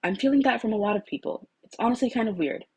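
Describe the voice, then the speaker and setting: Earnest, nervous teen boy in a storybook, husky, emphatic female reader acting him. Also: fairly high pitch, fast, darkish tone, voice pushed up. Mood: uneasy. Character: earnest, anxious, forgiving teenage boy student.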